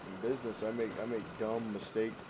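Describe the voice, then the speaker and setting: Male streamer, congested, lower voice, loose rambling chat, heavy game gunfire under the voice. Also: fairly even intonation, fairly quick, heavy background noise. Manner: rambling, folksy, repeats words. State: congested with a head cold. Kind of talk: casual gaming livestream chat. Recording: loud game gunfire behind voice. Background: gunfire and vehicles from the game.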